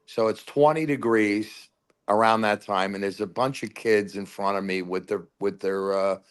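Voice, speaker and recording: A man calling in to a sports talk show, telling loud, rambling fan stories and giving his game predictions. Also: on the low side, moderate pace, smooth. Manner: rambling, animated storyteller. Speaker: caller. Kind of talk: casual phone-in chat.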